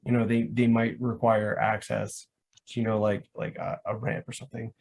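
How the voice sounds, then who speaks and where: A man's voice: fairly low pitch, flat delivery, moderate pace, creaky, a little mellow, slight background noise. Man chatting, rambling with lots of "like". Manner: rambling, says "like" constantly. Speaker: guest, live action roleplay organiser. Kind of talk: casual explanatory chat.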